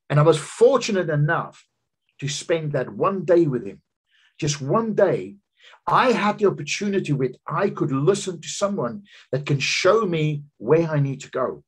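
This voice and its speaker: A man in his fifties, a business coach, giving an earnest seminar talk over slides on a video call from a home office. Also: breathy edge. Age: 50s. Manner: earnest, personal storytelling pitch with emphatic rises. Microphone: video call webcam.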